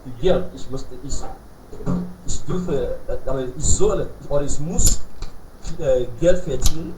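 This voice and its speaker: Halting male learner on an echoey call. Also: a little high, music in the background. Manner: halting, self-correcting, many fillers. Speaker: German learner, accountant. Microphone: video call. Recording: echoey, distant call audio with clear noise.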